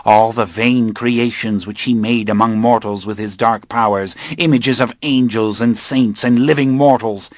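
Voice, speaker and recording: Guy doing creepy old coven leader voice, low and slow, archaic talk, storybook villain. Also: no echo, clean studio sound. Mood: menacing. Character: menacing old male satanic coven leader, cold and solemn.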